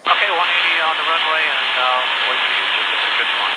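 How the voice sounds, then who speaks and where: A man working as a pilot, reading back clearances in short phrases over a cockpit radio. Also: on the high side, a little fast. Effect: cockpit radio transmission. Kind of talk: clipped radio readbacks. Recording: very noisy aircraft radio, engine drone.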